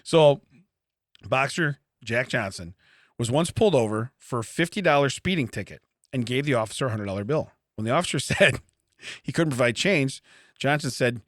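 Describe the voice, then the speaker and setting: A middle-aged man chats as a comedy podcast co-host in a close, dry recording. His voice is bright and lively, with pitch that jumps about. He rambles casually and repeats phrases to land the joke. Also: moderate pace, no echo. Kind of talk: casual comedic chat, reading out odd facts and riffing.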